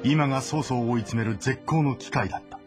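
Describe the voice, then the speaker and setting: A man giving solemn, measured scripted narration for a historical game drama. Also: mid-range voice, music in the background.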